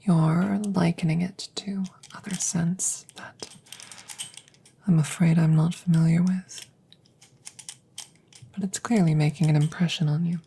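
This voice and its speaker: Girl doing calm clinical alien scientist asmr, soft close whispery, kinda creaky, barely changes pitch. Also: exaggerated voice. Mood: curious, clinical. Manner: measured, formal, deliberate. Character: calm, clinical, curious female alien scientist examining a visitor. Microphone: close microphone.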